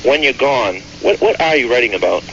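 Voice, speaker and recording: A man calling in to a radio show, asking his question in an earnest, halting way. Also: medium pitch, lively intonation, noisy background. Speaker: caller. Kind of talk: phone-in question. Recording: distant lo-fi phone line.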